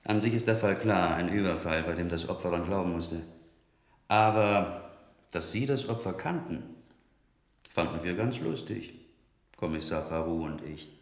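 Needling low-voiced police inspector. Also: male voice, moderate pace, pauses often, echoey room. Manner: mocking, terse. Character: suspicious, needling male police inspector, gruffly disdainful. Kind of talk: radio crime drama dialogue.

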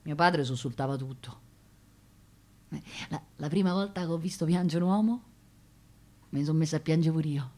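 A woman's voice: low-pitched, normal speed, pauses often, warm tone, close to an everyday voice. An actress playing a proud, touchy young working-class woman with a Roman accent, talking colloquially and emotionally in a radio play. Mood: wistful, confiding. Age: young adult. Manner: colloquial, repeating words, emotional. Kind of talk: theatrical radio drama monologue.

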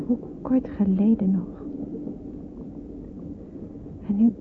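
An actress playing a frightened, lonely young refugee in a radio drama, with hesitant, wistful first-person narration. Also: female voice, mid-range voice, steady tone, slow pace, natural voice. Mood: wistful, forlorn. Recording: muffled, noisy old radio play recording.

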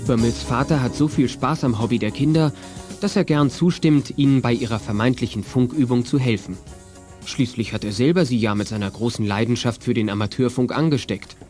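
A man narrating a children's radio play in a measured, explanatory way. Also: medium pitch, a little mellow, soft background music, natural voice.

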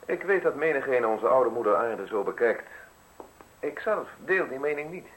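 An actor playing a sly, falsely modest male visitor in a radio play, speaking in deliberate, ornate, theatrical phrases with pauses. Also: mid-range voice, lively intonation, a bit bright, breathy edge, poor audio. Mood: dreamy, wry. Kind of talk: Dutch radio play acting. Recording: hissy radio drama recording.

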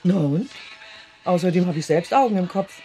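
Animated, gossipy village shopkeeper woman. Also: on the low side, normal speed, music in the background. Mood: huffy. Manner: chatty, opinionated. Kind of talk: radio drama acting.

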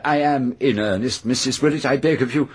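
An actor playing an earnest, fussy older English gentleman with a polite, precise and insistent manner in a radio mystery. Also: mid-range voice, fairly quick, slightly rough. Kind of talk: radio mystery drama acting. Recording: faint background noise.

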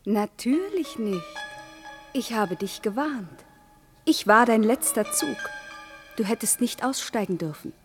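An actress playing a seductive, urgent temptress of adventure and freedom in a theatrical, declamatory radio play style. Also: female voice, a little high, animated, a little slow, faint music behind. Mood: reproachful, coaxing.

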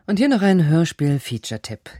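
Female radio announcer, scripted read, mellow and relaxed, dry close sound. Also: deep voice, a little fast, no echo.

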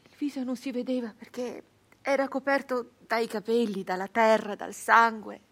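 Grief-stricken Italian peasant woman, high-voiced. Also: level tone, fairly bright tone. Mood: shaken, grieving. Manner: intense, halting, repeating words, swinging from cries to whispers. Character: grief-stricken 19th-century Italian peasant woman brigand recounting family tragedy. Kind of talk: dramatic theatrical monologue, radio drama.

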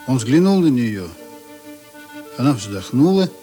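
Male narrator, medium pitch, measured literary storytelling, faint music behind, radio drama. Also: big pitch swings, dark tone.

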